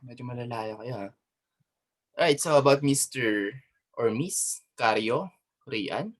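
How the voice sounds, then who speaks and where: A man's voice: mid-range voice, lively intonation, measured pace. A male teacher with a Filipino accent running an online class over a video call, chatting loosely and mixing two languages with many fillers. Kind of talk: online class, casual instructions.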